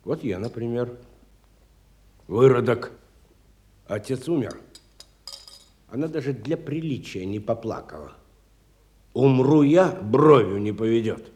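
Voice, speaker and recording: An actor playing a wealthy, educated, bitter industrialist in a radio stage drama, theatrical and musing, moving between soft and emphatic speech. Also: male voice, medium pitch, lightly acted.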